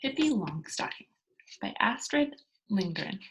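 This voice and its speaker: A woman teacher reading a children's book aloud and explaining it to young pupils in an expressive storytelling manner. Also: mid-range voice, a little slow, gravelly, a little hiss or hum, poor audio.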